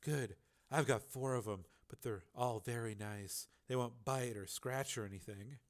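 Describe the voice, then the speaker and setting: A man voicing a tough, dry-witted showgirl who talks low and quietly. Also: measured pace, close to an everyday voice. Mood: hushed. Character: dry, tough-talking older showgirl, woman.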